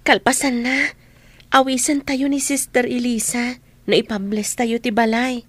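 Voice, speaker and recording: An eager young child in an Ilocano radio drama. The voice is on the high side and recorded dry. The accent is Ilocano. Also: female voice, little pitch movement, a little slow, no echo.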